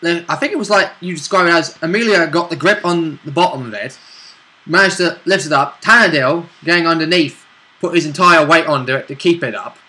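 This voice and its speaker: A man's voice: on the high side, fairly quick, sharp tone. A man talking casually and informally as a player in a tabletop role-play game, chipping in with agreement and asides. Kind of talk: casual chat about a game session.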